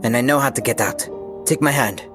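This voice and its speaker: A man's voice: medium pitch, a little fast, music in the background, natural voice. A man playing a confident young field agent in an audio drama, speaking in a terse, casual way. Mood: brisk, curious.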